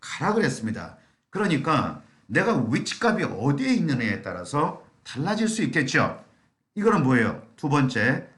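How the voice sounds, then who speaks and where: A middle-aged man giving an explanatory seminar presentation with a slightly hoarse, strained voice and frequent rhetorical questions. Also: mid-range voice, a bit bright. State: strained throat from long talking. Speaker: admissions consultant giving a lecture. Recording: echoey room.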